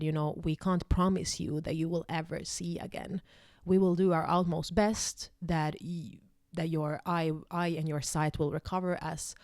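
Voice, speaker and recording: A woman's voice: deep voice, darkish tone, no echo, studio quality. A young woman from Finland whose first language is Swedish, telling a personal story in a rambling way, full of fillers and restarts. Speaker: vlogger.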